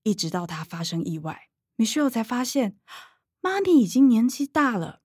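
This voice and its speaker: A woman's voice: on the high side, big pitch swings, normal speed, a little mellow, breathy edge, no room sound, clean studio sound. An actress playing a showy middle-aged Taiwanese mother who gushes in a sugary, self-dramatizing way for her livestream, in a radio drama. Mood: earnest, performed. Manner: gushing, performs for the camera.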